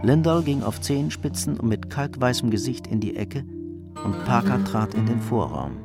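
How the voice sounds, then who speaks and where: A man's voice: medium pitch, animated, moderate pace, over music, natural voice. A man narrating a crime radio drama in a sober, matter-of-fact literary storytelling manner.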